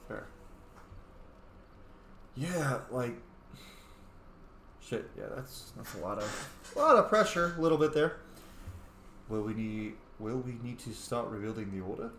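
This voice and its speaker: A man's voice: medium pitch, expressive, a little slow, pauses often, fairly bright tone. A man hosting a roleplay let's play, chatting casually and improvising replies to game characters. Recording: roomy echo, faint background noise.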